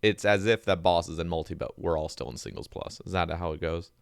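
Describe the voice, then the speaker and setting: A man's voice: low voice, brisk, gravelly, smooth, no echo, clean studio sound. A young man hosting a podcast, chatting casually and reading out listener questions. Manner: easygoing, reads listener questions. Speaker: podcast host.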